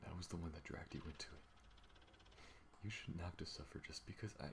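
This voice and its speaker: A man playing a protective spaceship pilot on the run in an intimate ASMR audio roleplay, speaking earnestly and haltingly. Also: deep voice, background noise. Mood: conflicted, tender.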